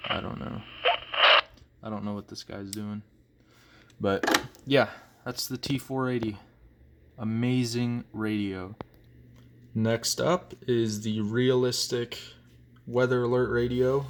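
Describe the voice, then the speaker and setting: A man giving a casual show-and-tell review as a hobbyist, in a rambling, hesitant way, recorded on a phone. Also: on the low side. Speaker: hobbyist collector presenting items. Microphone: phone, handheld or propped nearby.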